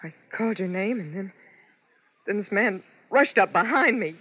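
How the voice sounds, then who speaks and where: A woman's voice: mid-range voice, expressive, moderate pace, breathy edge, no echo. An actress playing a frightened, anxious young Las Vegas showgirl in a 1950s radio drama, with dramatic delivery. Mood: distraught. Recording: muffled old 1950s radio recording.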